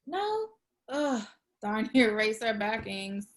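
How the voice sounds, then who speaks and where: A woman's voice: fairly high pitch, sing-song, slow. A woman playing an awkward, eager young woman in a script table read, hesitating and repeating herself. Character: awkward, eager young woman fibbing her way through job hunt.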